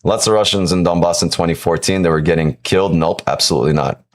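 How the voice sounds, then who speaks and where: A fairly low-voiced man from Ukraine talks off the cuff on his live stream, answering viewers' comments. He argues emphatically, circles back over his own phrases and keeps checking in with "right?". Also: quick pace. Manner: emphatic, repeats himself, many "right?" tags. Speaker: live-stream trading host. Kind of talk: casual live-stream talk answering chat.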